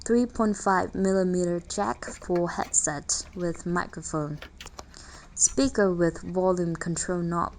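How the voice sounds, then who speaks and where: A woman's voice: on the low side, fairly even intonation, slow, a little hiss or hum. A woman reading a scripted product overview in a formal manner, listing specifications. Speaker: narrator.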